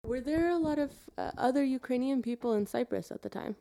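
A woman's voice: high voice, brisk, creaky, mellow. A woman co-hosting an interview, asking tentative questions that trail off. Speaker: interview co-host. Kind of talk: casual interview chat.

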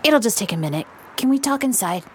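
A woman playing a fragile, pleading patient, earnest and hesitant, with a husky voice in an audio drama. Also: on the high side, animated, quick pace, slight background noise, close to an everyday voice. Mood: anxious, urgent. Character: anxious, pleading, fragile female therapy patient. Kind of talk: audio drama acting, naturalistic dialogue.

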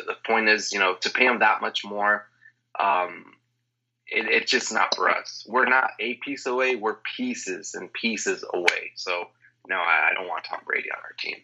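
A man guest on a sports podcast, talking in an animated way with lots of restarts over an internet call. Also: lowish voice, moderate pace, gravelly, lo-fi sound, a little hiss or hum. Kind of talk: casual sports opinion chat.

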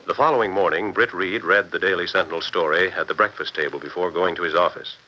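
An actor serving as the brisk narrator of a 1940s radio serial, reading scripted narration in announcer style. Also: male voice, low-pitched, fairly even intonation, pushed and bright, faint music behind. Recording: muffled old radio broadcast with hiss.

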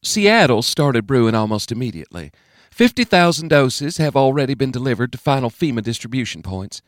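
A man voicing an unflappable woman official with a Southern US drawl, reporting in a calm, matter-of-fact way. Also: mid-range voice, a little fast, no room sound, studio quality, pitched above the performer's own voice, natural voice.

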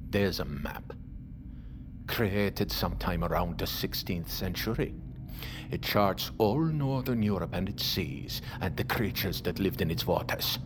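An actor playing a grizzled older seafarer, brooding and theatrical, who warns fervently of monsters in an audio drama. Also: male voice, sits low, expressive, noisy background. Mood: ominous. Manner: slow, brooding, theatrical storytelling that swells to intense warnings. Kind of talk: audio fiction drama acting. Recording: dramatic music behind the voice.

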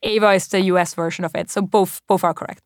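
A young woman in her twenties speaks with a German accent, in a slightly low voice. She answers interview questions earnestly and thoughtfully, pausing on ums and restarting her sentences. The sound is dry and close. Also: fairly even intonation, dry recording. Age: 20s. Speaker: company founder.

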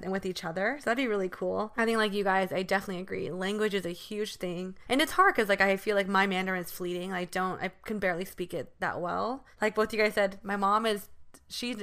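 A woman's voice: medium pitch, a bit bright. A young woman chatting casually among friends as a podcast co-host, often dropping in "like" and "you know". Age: young adult.

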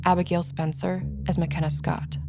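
A woman's voice: fairly low pitch, little pitch movement, moderate pace, vocal fry, noisy background. A woman reading scripted closing credits as an announcer. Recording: loud music under voice.

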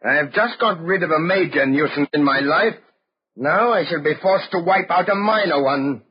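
An actor playing a haughty, cold aristocratic duelist in an old radio drama, speaking formally and imperiously. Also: male voice, on the high side, normal speed, slightly rough. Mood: cold menace. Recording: muffled old radio recording.

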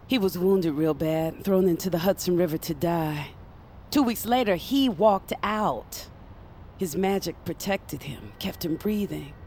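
An actress playing a worldly, protective ally who knows magic, speaking with deliberate, cautioning emphasis in an audio drama. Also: female voice, medium pitch, a little mellow, slight background noise. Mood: amazed.

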